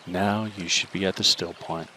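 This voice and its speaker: A man leading a guided meditation, giving slow, calm instructions with long pauses between them. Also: low voice, slight background noise.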